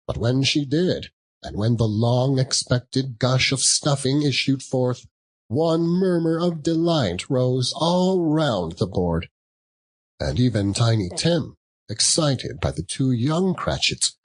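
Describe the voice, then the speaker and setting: Slow, expressive male storyteller. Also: mid-range voice. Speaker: audiobook narrator. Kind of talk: dramatic storybook narration.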